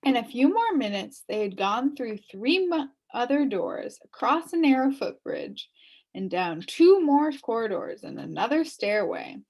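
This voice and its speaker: Slow, animated woman reading a story. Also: fairly high pitch, rough recording. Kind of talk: expressive children's book read-aloud.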